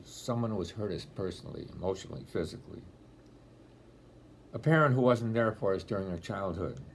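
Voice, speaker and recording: A man in his eighties with a deep voice, speaking as a deacon in a homily-style talk, telling stories with gentle dry humor, filmed on a laptop webcam at home. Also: a little hiss or hum. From Rochester, New York area. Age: 80s. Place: home room, indoors. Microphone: laptop webcam at close range.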